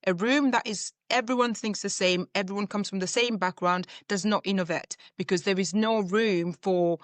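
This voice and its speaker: A woman's voice: mid-range voice, steady tone, normal speed, dry recording. A woman from Zambia, a leadership coach, answering interview questions in a reflective way with everyday examples.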